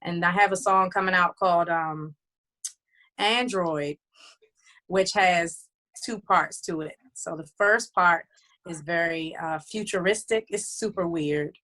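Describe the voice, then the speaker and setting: A woman's voice: on the low side, slow, pushed and bright. A woman recording artist who also runs a label, talking loosely and chattily in a casual interview, with many fillers and restarts.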